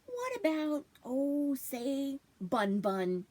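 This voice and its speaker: A woman's voice: high-pitched, wildly swooping pitch, extremely slow, darkish tone, pitched above the performer's own voice, heavily acted. A woman voicing a weary, coaxing storybook mother of two boys, with a sighing, strained sweetness. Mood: hopeful.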